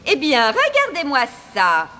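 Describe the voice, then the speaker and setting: Shrill, wicked fairy-tale queen. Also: wildly swooping pitch, unhurried, noisy background, heavily acted. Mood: haughty, mocking. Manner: mock-sweet, theatrical, sneering. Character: wicked, haughty sorceress queen, a scheming cruel stepmother. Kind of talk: animated fairy-tale dubbing.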